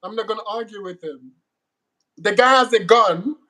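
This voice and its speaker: A middle-aged man with a West African accent talks in a candid interview, and his voice sits high for a man. He speaks emphatically and doubles back on words as he drives each point home. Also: normal speed, sharp tone, poor audio. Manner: emphatic, repeats words when pressing a point.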